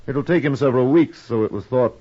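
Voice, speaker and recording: An actor playing a formal, courteous frontier army colonel in a 1950s radio western, polite and matter-of-fact. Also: male voice, mid-range voice, quick pace, dark tone, lightly acted. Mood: grave, explanatory. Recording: muffled vintage radio recording.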